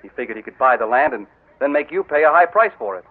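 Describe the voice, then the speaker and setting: Man as a defensive young son, earnest, swinging pitch, tinny old radio. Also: animated, fast, natural voice. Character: indignant young man accused of betrayal. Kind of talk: 1940s radio acting. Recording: thin old radio broadcast.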